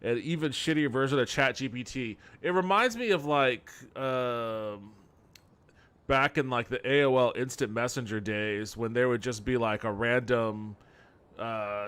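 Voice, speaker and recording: A man's voice: mid-range voice, measured pace, a bit bright, smooth. A man streaming a game with casual commentary, rambling and bluntly critical as he goes. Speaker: game streamer and podcast host.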